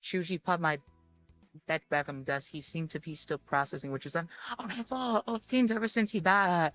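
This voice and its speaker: A woman with a fairly low voice talks through a visual novel as she plays it. She reads game text aloud and adds her own comments in a halting, rambling way. Music plays behind her. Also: a bit bright. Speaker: streamer playing a visual novel. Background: background music.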